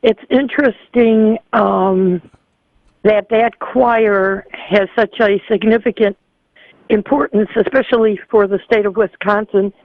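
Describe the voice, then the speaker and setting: A man putting on a prim, chatty lady caller who rambles and halts with many ums. The voice sits high and comes through a tinny phone line with no room at all. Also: fairly even intonation, slow pace, no echo. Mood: earnest. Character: fussy older woman caller, chatty and proper.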